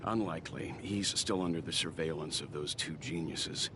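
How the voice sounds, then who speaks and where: A man acting a calm, scholarly gentleman mentor in an anime-style game, measured and thoughtful with a dry amusement. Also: deep voice, reverberant, noisy background, close to an everyday voice. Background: music.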